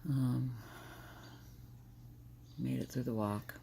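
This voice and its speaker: Older woman, gravelly smoker-like voice, creaky, tired, sleep-deprived, candid rambling video diary. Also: steady tone, drawn-out words, dark tone, a little hiss or hum. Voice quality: gravelly, deep, smoker-like morning voice. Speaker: vlogger.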